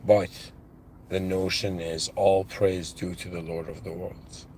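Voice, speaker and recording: Slow, low-voiced man musing on faith. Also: flat delivery, darkish tone, a little hiss or hum, low-quality recording. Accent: non-native English. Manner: musing, halting, with restarts and devout phrases. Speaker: channel host. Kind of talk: reflective spiritual monologue.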